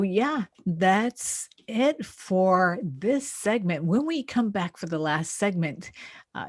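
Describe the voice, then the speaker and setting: Woman, low voice, talk radio host, conversational self-help delivery, dry studio-like sound. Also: darkish tone, dry recording. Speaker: radio show host, therapist and life coach.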